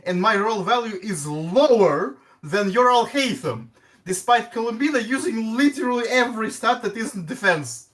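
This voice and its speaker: A man with a Russian accent chatting about a game, halting and restarting often. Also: high-pitched, expressive, fairly bright tone. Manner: halting, many restarts and stumbles. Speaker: guest player. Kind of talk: casual gaming chat.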